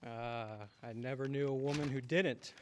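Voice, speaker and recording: A genial American dad, acted by a man, speaks in a mid-range voice. He is easygoing and gently teasing, in old-time radio drama style. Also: mid-range voice, fairly even intonation, normal speed, a little hiss or hum, close to an everyday voice. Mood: amused, relaxed. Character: genial, unhurried American father, teasing and indulgent.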